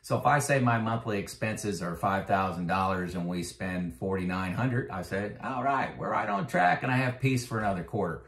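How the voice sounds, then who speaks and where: A man's voice: fairly low pitch, animated, moderate pace, vocal fry, few pauses, a bit bright. Man in his fifties, echoey room. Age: 50s. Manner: conversational, many fillers, emphatic when making a point. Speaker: retirement finance vlogger. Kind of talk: casual explanatory talk to camera.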